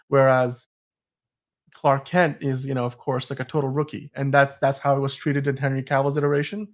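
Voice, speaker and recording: A man's voice: medium pitch, little pitch movement, a little fast. A man co-hosting a podcast, talking about film and TV in a casual, enthusiastic, rambling way. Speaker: podcast co-host.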